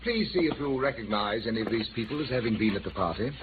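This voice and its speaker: A man plays a dry, probing police lieutenant in a vintage radio drama. His speech is formal and precise but very animated in pitch, and it comes through thin and tinny over clear background noise. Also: fast talker, lightly acted. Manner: precise, formal, drily skeptical. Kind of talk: 1950s radio drama acting. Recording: noisy, tinny old radio recording.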